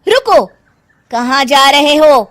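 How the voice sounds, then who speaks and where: A woman plays a haughty young bride who carries on like royalty in a comic cartoon. Her voice is high and swoops dramatically as she talks in a pompous, commanding way. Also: expressive, takes it slowly, a bit bright, heavily acted. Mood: threatening. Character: haughty young daughter-in-law posing as imperious princess. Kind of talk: Hindi cartoon comedy acting.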